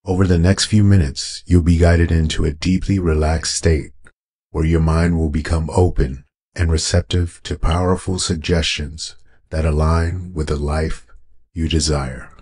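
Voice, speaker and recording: A man narrating a guided meditation in a calm, soothing, unhurried way, as a guide would. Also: rumbling low, breathy edge. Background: meditation music with singing bowl tones.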